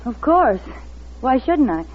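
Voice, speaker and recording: A woman's voice: sits high, very animated, slow pace, slight background noise, full character voice. An actress playing a jilted, embittered young secretary turned thief in 1940s radio drama style, acting and narrating her own story. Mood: guarded politeness. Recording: tinny vintage radio recording, music behind.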